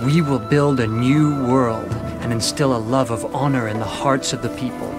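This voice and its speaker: Noble male samurai strategist, played by a man, mid-pitched, earnest and declamatory, clear game score underneath. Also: fairly even intonation, moderate pace, lightly acted. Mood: resolute. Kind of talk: dramatic video game acting. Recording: music under the voice.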